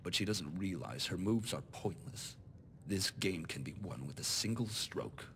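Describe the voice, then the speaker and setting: A man playing a calculating, self-made nobleman in a video game, speaking in a formal, measured, composed way. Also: low-pitched, animated, fairly bright tone, a little hiss or hum. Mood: cool, resolute. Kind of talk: scripted video game dialogue.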